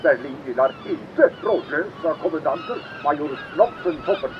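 A man's voice: on the high side, huge pitch swings, muffled recording, background noise. A man playing an impatient, mocking navy captain in an audio drama, curt and commanding, heard over a radio. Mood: cold, impatient.

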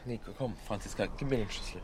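A man's voice: on the low side, crowd noise, lightly acted. An actor playing an agitated, insistent man who repeats himself and urges others on in naturalistic improvised comedy. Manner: halting, repeats himself, urging. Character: agitated, insistent man among bickering friends.

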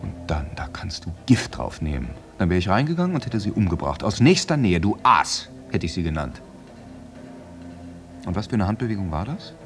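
An actor playing a jealous, tormented soldier in a radio play monologue, theatrical and shifting between murmurs and outbursts. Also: male voice, low voice, sing-song, normal speed, soft background music. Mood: pained, furious. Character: jealous, tormented soldier brooding over his unfaithful lover.

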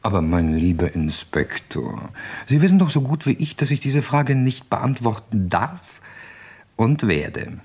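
Suave, husky gentleman agent, swooping intonation. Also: mid-range voice, big pitch swings, a little slow, exaggerated voice. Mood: evasive, unflustered. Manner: urbane, unflustered, evasive. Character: suave, evasive English gentleman secret agent. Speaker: actor in a crime radio play. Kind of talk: German radio crime drama acting.

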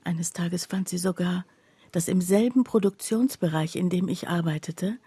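An actress playing a skeptical woman confiding about her friend in a radio play, her voice husky and breathy and her delivery hushed. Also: fairly low pitch, normal speed, no echo. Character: skeptical female friend recounting her friend's odd behaviour. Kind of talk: literary radio play narration.

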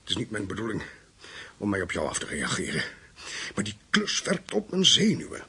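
A fretful hired crook in a radio play. His raspy, creaky voice swings up and down as he snaps irritably and starts sentences haltingly. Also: lowish voice, huge pitch swings, bright, forceful tone, full character voice. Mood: irritated, fretful. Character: exasperated, nervy criminal plotter for hire. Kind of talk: radio drama acting.

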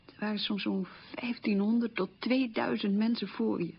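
Husky, creaky-voiced middle-aged wartime survivor. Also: female voice, medium pitch, steady tone, normal speed. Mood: matter-of-fact, insistent. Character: middle-aged woman bitterly recalling wartime hunger. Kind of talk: radio drama monologue, testimonial recollection. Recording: dull, muffled old radio recording.

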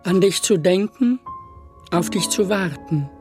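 Unhurried woman reciting poetry over music. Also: fairly low pitch, expressive, a little mellow. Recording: music bed behind voice.

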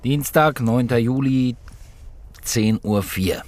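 A man's voice: medium pitch, takes it slowly, darkish tone, no echo, lightly acted. A man playing a middle-aged chief homicide inspector in a radio drama, terse and insistent, formal in his questioning. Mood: formal, pressing. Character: male chief homicide inspector, authoritative, probing interrogator. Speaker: actor in a radio crime drama. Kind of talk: naturalistic German radio drama acting.

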